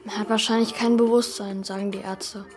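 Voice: medium pitch, level tone, fairly quick, soft background music, lightly acted. A performer voicing an earnest, troubled young boy who tells his story in a children's radio play. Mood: subdued. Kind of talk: radio play narration and dialogue.

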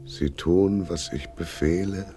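An actor playing a deranged, vain theatre director and hypnotist in a radio crime drama, with a commanding, theatrical delivery. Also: male voice, deep voice, slow, warm tone, faint music behind. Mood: hypnotic, commanding.

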